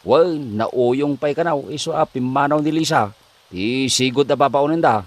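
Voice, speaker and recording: A woman's voice: a bass voice, moderate pace, darkish tone. A woman with an Ilocano accent playing a friendly, gossipy household driver in a comedy drama. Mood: gossipy, warning. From Philippines.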